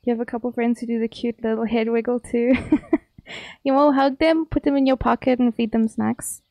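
A woman's voice: on the high side, steady tone, a little fast, mellow, dry recording. A young woman with a South African accent, chatting casually and teasingly with her viewers while streaming a game. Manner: chatty, playful, teasing chat.

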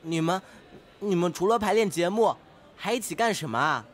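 A man's voice: high-pitched, expressive, normal speed. A man playing an earnest, naive male student with a crush in an audio drama, indignant and very literal. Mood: jealous, upset. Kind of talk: amateur Chinese audio drama acting.

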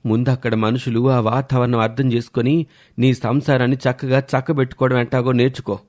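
A man acts out a stern older village father in a cartoon moral tale. He speaks at a middling pitch, advising and admonishing. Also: level tone, fairly quick, darkish tone, natural voice. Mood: reproachful. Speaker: narrator and character voices. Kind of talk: scripted cartoon story narration and dialogue.

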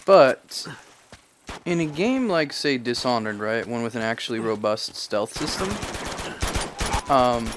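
A man's voice: medium pitch, animated, a little slow, pauses often. A man casually analyzing a video game as he plays, on a livestream, chatty and digressive, thinking aloud. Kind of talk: casual commentary over gameplay. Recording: noisy game stream.

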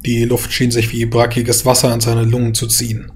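A man reading scripted dark fantasy narration as a storyteller, measured and solemn. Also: mid-range voice, monotone, faint music behind. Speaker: storyteller, game master.

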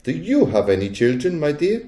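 A man's voice: a little high, very animated, normal speed, mellow, reverberant, pitched above the performer's own voice. A man voicing a polite, composed, chatty old grandmother in a storybook. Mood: cool chattiness.

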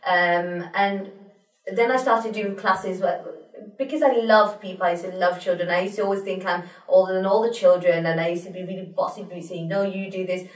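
A woman's voice: fairly low pitch, fairly even intonation, moderate pace. A young woman, a teacher, speaking as a studio guest, fluent and chatty with frequent fillers. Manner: fluent, chatty, peppered with you know. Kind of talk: studio interview. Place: small studio in front of a pink backdrop. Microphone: desk mic on a stand. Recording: roomy sound from a distant mic.

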